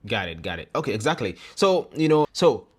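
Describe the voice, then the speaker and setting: A man's voice: medium pitch, very animated, fairly quick, husky. A young man, hosting a casual interview in an earnest, emphatic way and often repeating his phrases. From Uganda. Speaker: host.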